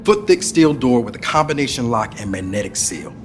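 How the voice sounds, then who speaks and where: Man playing a mid-pitched heist tech guy. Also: big pitch swings, brisk, slight background noise, natural voice. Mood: keen briefing. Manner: explanatory, informal. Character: keen tech-minded man briefing a heist crew. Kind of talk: video game cutscene acting.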